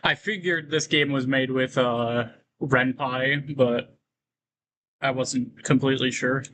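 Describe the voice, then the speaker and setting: A man doing casual livestream commentary on a game, reading on-screen text aloud and halting to break into asides. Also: medium pitch, fairly even intonation, a bit bright, slight background noise, poor audio.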